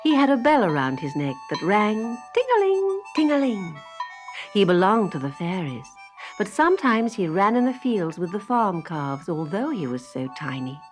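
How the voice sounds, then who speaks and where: A woman narrating a children's story in an expressive storytelling manner. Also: medium pitch, moderate pace. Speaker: storybook reader. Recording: faint music behind the reading.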